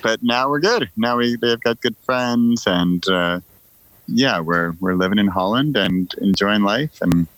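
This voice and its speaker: A man's voice: on the low side, lively intonation, measured pace, a bit bright, no echo, low-quality recording. A middle-aged man, telling casual stories as a podcast guest in a joking, conversational way. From United States.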